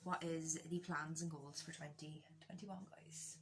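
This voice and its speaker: A woman's voice: sits low, steady tone, a little slow, noisy background. An Irish woman chatting casually through a makeup tutorial, candid, joking and self-deprecating, with a bit of swearing. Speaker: beauty vlogger doing a makeup tutorial.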